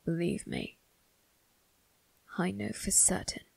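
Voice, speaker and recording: A woman voicing a cold, condescending male vampire crime boss in an ASMR roleplay, speaking in a husky, gravelly near-whisper with slow, deliberate menace. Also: lowish voice, wildly swooping pitch, a little mellow, no echo, exaggerated voice. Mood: taunting. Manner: slow, deliberate, measured taunting. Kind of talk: ASMR roleplay monologue addressed to the listener.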